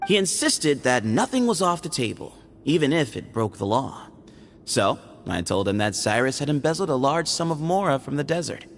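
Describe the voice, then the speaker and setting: A sly young temple guard teases with a gravelly edge and a voice that swings up and down. He dodges questions in an easy, evasive manner, and faint music plays under him. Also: medium pitch, normal speed. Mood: teasing. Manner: teasing, relaxed and evasive. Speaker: game character.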